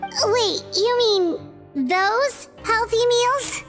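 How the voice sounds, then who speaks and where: A woman voicing a tiny, childlike fairy companion in a video game, chirpy, squeaky, cheeky and curious. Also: expressive, drawn-out words, fairly bright tone, soft background music, exaggerated voice. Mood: hesitant. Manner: squeaky, chirpy, refers to herself by name.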